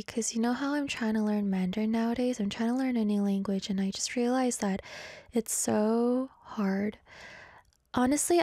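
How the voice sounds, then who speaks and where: Young woman dj rambling on, grew up in canada, korean first language, mellow warm tone, dry close sound. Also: mid-range voice, no echo. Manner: rambling, chatty, self-deprecating. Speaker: radio DJ. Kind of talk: casual chat. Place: indoors in South Korea.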